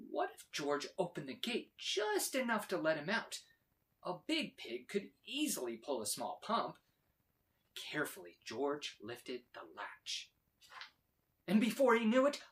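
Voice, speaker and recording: Animated man reading a children's story. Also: sits high. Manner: expressive, dramatic emphasis. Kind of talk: animated read-aloud narration for children.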